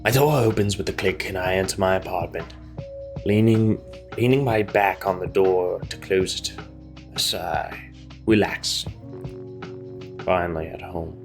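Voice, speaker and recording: A man's voice: low voice, unhurried, creaky, long pauses, soft background music. A man hosting a gaming video, reading visual novel narration aloud and adding casual commentary.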